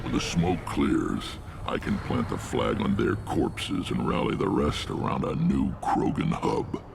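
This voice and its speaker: Very deep, gravelly alien warlord. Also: male voice, animated, heavy background noise, full character voice. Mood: grave, resolute. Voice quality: gravelly, rumbling. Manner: blunt, commanding, measured. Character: gruff, battle-worn alien warlord, a visionary clan leader. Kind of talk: video game character acting.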